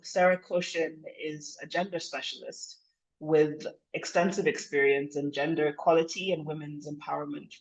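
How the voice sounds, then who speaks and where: A man leading a tutorial as facilitator, speaking informally and reflectively, with frequent halting pauses mid-thought. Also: fairly high pitch, low-quality recording. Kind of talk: informal spoken presentation to a group.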